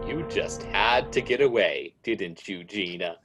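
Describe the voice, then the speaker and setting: A man playing an ominous, all-knowing narrator of a radio mystery, speaking in a deliberate, insinuating way as he taunts a guilty woman. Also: lowish voice, animated, loud music behind the voice.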